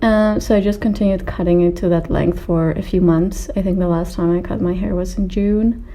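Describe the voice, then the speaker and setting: A woman's voice: lowish voice, steady tone, normal speed, warm tone. A woman in her twenties from Austria and Greece chatting casually as a video creator, in an informal, rambling and slightly hesitant way. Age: 20s. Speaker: video creator answering viewer questions. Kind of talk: casual chat to camera.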